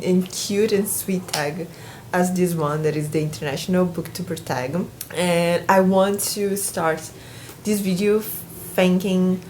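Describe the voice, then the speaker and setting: A woman's voice: on the low side, a little hiss or hum. A young woman from Brazil with a Brazilian Portuguese accent, speaking English in a casual book vlog, hesitant, with many restarts. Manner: hesitant, many restarts and fillers, warm laughs between thoughts. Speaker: booktuber.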